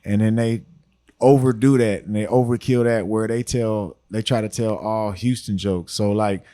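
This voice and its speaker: A middle-aged man speaks in a low, relaxed drawl. He talks casually, often tagging lines with "you know what I'm saying". The recording is dry and close. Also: normal speed, no room sound. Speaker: podcast guest, rapper. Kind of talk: casual podcast chat.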